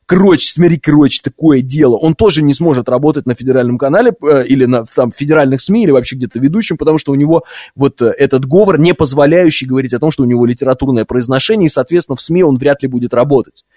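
A man's voice: mid-range voice, quick pace, warm tone, no echo, studio quality. A man from Russia's Orenburg region hosting live talk radio, giving opinions conversationally, repeating points and asking rhetorical questions. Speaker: radio talk show host. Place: radio studio. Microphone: close studio microphone.

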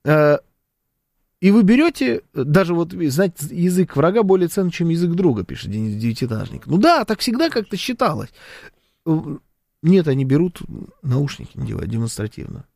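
Casting: male commentator, somewhat high voice, lively and sarcastic, dry close sound. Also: normal speed, creaky, no echo. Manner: animated, sarcastic, talks with hesitations. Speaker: commentator reading viewer comments. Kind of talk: casual political commentary.

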